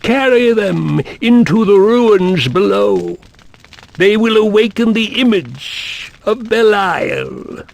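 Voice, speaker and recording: A man voicing the solemn, proud spirit of a dead reptilian emperor in a fantasy video game, stately and gravelly. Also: sits high, exaggerated voice.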